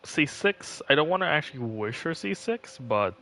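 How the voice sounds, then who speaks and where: A man's voice: on the high side, sing-song, moderate pace, sharp tone, rough recording. A man giving casual, rambling livestream commentary, thinking aloud as he plays a game. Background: faint game music.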